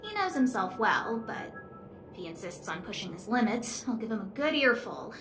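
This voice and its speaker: A woman voicing a young, caring female researcher in a video game, in an expressive, girlish, conversational way. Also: medium pitch, normal speed, roomy sound, music in the background. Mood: worried, exasperated. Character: young female lead researcher, wealthy, cheerful, caring stargazer. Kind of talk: video game character dialogue.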